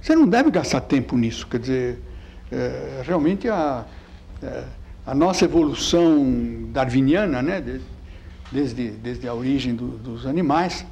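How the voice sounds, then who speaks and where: An older man born in Italy, with a light Italian accent, giving a physics lecture with slides in a chatty, halting way full of fillers. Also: mid-range voice, expressive, a little mellow, slight background noise. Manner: halting, many fillers and repeated words; chatty, keeps asking "né?".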